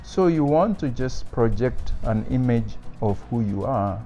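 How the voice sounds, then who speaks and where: A man speaking as a father in an interview, measured and hesitant with fillers. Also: mid-range voice, animated, warm tone, soft background music. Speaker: father interviewed about policing. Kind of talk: reflective interview talk. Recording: dull lo-fi sound.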